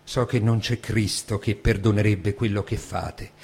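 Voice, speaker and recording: A man's voice: fairly low pitch, fairly even intonation, measured pace, creaky, a little mellow. A man giving a dramatic stage reading of a text. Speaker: stage reader. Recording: hum and background noise.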